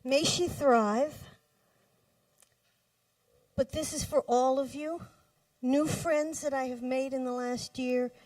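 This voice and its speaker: A woman reading her own poems live, with measured, deliberate phrasing and pauses between lines. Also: sits high, steady tone, darkish tone, no room sound. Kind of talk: live poetry reading with brief spoken introductions. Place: cafe poetry reading. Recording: distant microphone at live reading.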